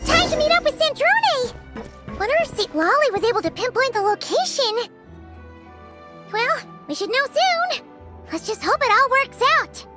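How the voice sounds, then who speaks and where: A woman voicing a small, excitable fairy companion in a video game, who talks about herself in the third person and chatters brightly. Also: very high-pitched, a little slow, exaggerated voice. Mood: chatty. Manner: refers to herself in the third person, bubbly and exclamatory. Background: music.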